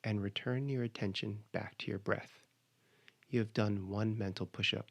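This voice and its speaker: A man leading a guided meditation, giving measured, instructional cues with pauses between phrases. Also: lowish voice, steady tone, creaky.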